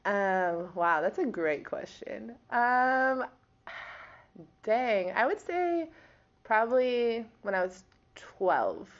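A woman's voice: on the high side, sing-song, extremely slow, muffled recording. A young woman in her twenties from Wisconsin, a college volleyball player answering interview questions in a chatty, giggly way with lots of fillers. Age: 20s.

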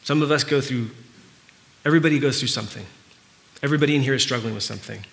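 A middle-aged man whose first language is Spanish, preaching a conversational sermon in an earnest, informal way with halting pauses and repeats. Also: mid-range voice, gravelly, fairly bright tone. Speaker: pastor preaching. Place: church hall with stage, indoors, before a congregation.

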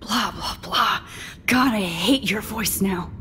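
A woman's voice: medium pitch, takes it slowly, bright, forceful tone. A woman acting a defiant, angry teenage captive in a video game, bitter, terse and accusing. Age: young adult. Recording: long echoey reverb, faint hiss.

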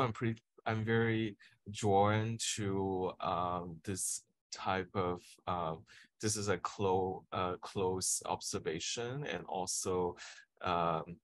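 A man's voice: low voice, no room sound. A man in his thirties moderating a panel over a video call from a home office, talking hesitantly with many fillers and restarts.

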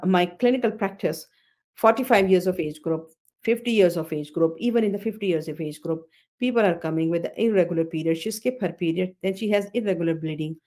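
A woman's voice: medium pitch, darkish tone. A woman in her fifties, an obstetrician hosting a webinar on a video call, explaining slides, often repeating phrases and correcting herself with fillers. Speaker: OB-GYN consultant hosting a webinar. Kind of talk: webinar lecture over slides. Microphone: computer mic on a video call.

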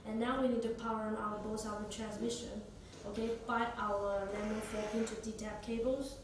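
Young woman w doing a halting product demo, super echoey room, noisy background. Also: fairly high pitch, flat delivery, distant mic. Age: young adult. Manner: halting non-native English phrasing. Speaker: product demonstrator. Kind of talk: instructional product demonstration. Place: small indoor office or meeting room.

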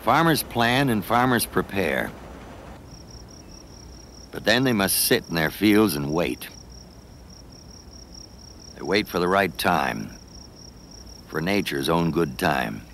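Deep-voiced male documentary narrator. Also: a bit bright, a little hiss or hum. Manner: measured, lyrical storytelling. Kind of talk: scripted narration.

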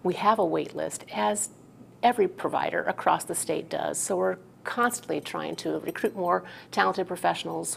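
Gravelly, low-voiced woman executive. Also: slight background noise. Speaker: chief executive, interview guest. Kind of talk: earnest TV interview talk. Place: indoor office room, television shoot.

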